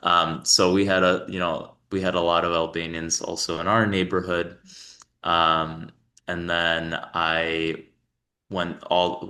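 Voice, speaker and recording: A young man talks as a podcast guest, easygoing and loose, full of "um"s and restarts. His voice sits very low and stays level, with a bright, forceful edge. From New York, United States. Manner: relaxed, rambling with many fillers and restarts. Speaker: guest, company founder. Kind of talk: casual interview conversation.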